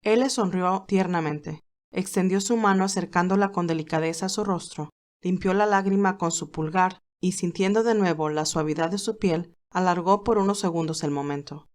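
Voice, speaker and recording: A woman's voice: fairly low pitch, normal speed, a little mellow. A woman narrator reading a scripted romantic story.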